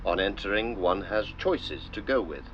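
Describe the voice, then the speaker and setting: Male documentary narrator, old-fashioned delivery, low voice, solemn and measured; dull, noisy recording. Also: lively intonation. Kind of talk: scripted narration in old-style documentary manner. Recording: dull lo-fi sound with clear noise.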